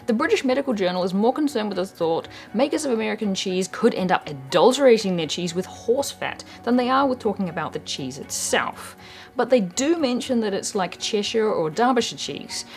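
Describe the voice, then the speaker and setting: New Zealand woman narrating history. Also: mid-range voice. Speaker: history channel host. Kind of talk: scripted educational narration.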